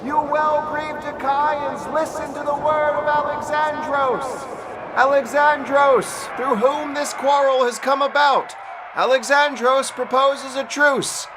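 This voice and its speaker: A man's voice: very high-pitched, level tone, takes it slowly, a crowd in the background, background noise. A man playing a proud warrior of ancient Greek epic, addressing armies in formal, declamatory oratory for an audio drama. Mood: loud, proclaiming.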